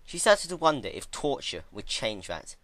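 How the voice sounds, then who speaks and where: Guy narrating a dark story, very dramatic, swoops around, dry close sound. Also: mid-range voice, big pitch swings, moderate pace, no echo. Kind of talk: dramatic fan fiction reading.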